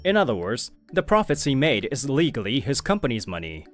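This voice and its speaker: A man narrating as a young company president, telling the story in a lively way. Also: mid-range voice, fairly quick, close to an everyday voice. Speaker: narrator and lead figure. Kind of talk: manga video narration.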